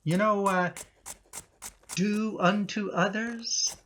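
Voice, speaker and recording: A man's voice: high voice, fairly even intonation, takes it slowly, a bit bright, slight background noise. A man acting in a radio play as a sheepish, evasive husband and father who cajoles hesitantly.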